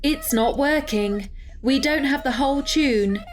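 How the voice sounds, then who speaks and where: A woman playing a level-headed artificial intelligence who leads with measured reasoning in an audio drama. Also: high voice, soft background music. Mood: urgent.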